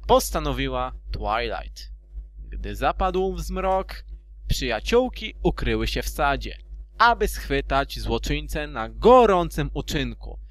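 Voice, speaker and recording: Man, storybook narrator, high voice, slow pace, lively intonation, theatrical. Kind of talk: animated storybook narration for amateur fan dub.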